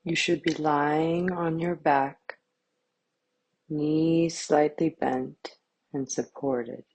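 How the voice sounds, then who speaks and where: A woman guiding a yoga nidra meditation with calm, soothing, measured scripted instructions. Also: low voice, husky, low-quality recording.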